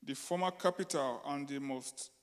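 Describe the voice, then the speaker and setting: A man from Nigeria, reading calmly on a concert stage into a handheld mic. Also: mid-range voice, moderate pace. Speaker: poetry reader. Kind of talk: introducing himself and reading a short piece. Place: wood-panelled concert hall stage. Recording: echoey concert hall.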